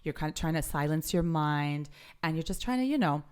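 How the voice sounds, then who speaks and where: Young female host, bubbly, expressive, slightly low voice. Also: brisk, clear, resonant tone. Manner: bubbly and expressive, laughs easily. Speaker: podcast host. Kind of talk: casual chat.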